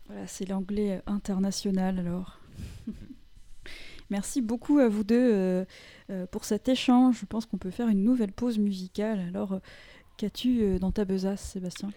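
A woman hosting a radio talk show in an easygoing, relaxed manner. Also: mid-range voice, moderate pace, no room sound. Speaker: radio host. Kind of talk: casual radio chat.

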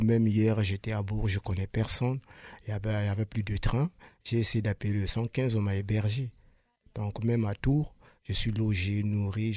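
A man from Congo-Brazzaville, a radio guest telling his story sincerely, with frequent pauses and repeated words. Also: lowish voice, a little mellow, no room sound. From Republic of the Congo. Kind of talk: earnest personal testimony. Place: radio studio.

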